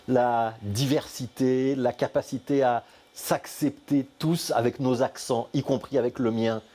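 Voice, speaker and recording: Mellow-voiced TV interviewer. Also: male voice, mid-range voice, fairly even intonation. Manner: quick, conversational questioning. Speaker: TV show host. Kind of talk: studio interview. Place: TV studio.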